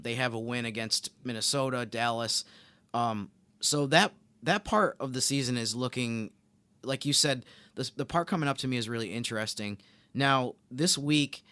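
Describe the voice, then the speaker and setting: A man co-hosting a sports podcast, talking through basketball analysis in a casual, measured and explanatory way. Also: medium pitch, sharp tone, clear, resonant tone, no echo.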